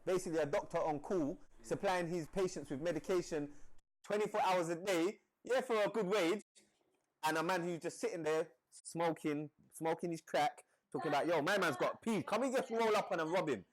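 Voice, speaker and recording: A British man, talking in a group discussion, joking and animated, using street slang. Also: a little high, brisk, low-quality recording. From Britain.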